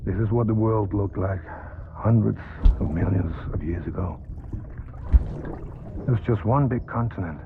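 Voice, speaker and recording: An actor playing a tender man gently explaining the world in a video game scene. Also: fairly low pitch, measured pace. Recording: thin phone-like band, clear noise.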